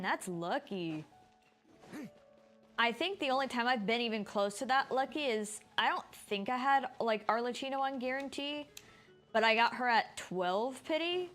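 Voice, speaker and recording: A woman's voice: on the high side, fairly bright tone. A woman streamer giving informal, rambling live commentary while she plays a video game. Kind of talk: casual live gameplay commentary.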